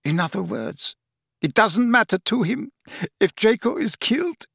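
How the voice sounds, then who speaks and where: A woman's voice: medium pitch, very animated, unhurried, creaky, a bit bright, breathy edge, dry recording, studio quality, pitched above the performer's own voice, exaggerated voice. A man voicing a desperate weeping mother who pleads in a choked, tearful voice. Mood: despairing. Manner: choked, pleading, near tears. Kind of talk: audiobook character voice.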